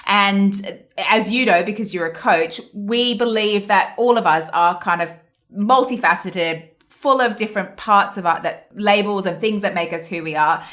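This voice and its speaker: A woman hosts a podcast interview in a conversational style. Her voice sits at an average pitch, and she comes across as reflective and affirming as she frames things for her guest. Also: moderate pace, a bit bright. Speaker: podcast host.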